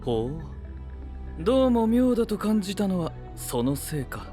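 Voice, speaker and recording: Analytical, higher-voiced young knight. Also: male voice, very animated, warm tone, faint music behind. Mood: curious, analytical. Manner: measured, scholarly, blunt observations. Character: inquisitive, analytical young male knight, calm and self-assured. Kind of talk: Japanese anime voice drama acting.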